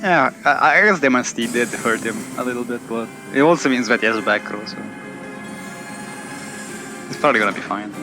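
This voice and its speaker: Lively esports caster. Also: male voice, mid-range voice, a little fast, long pauses. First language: Italian. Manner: energetic, chatty, joking. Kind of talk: live game commentary. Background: game music.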